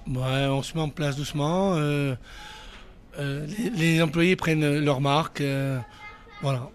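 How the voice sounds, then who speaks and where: A man's voice: a little high, slow. A man running a restaurant, giving short, hesitant answers in an interview. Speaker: restaurant owner.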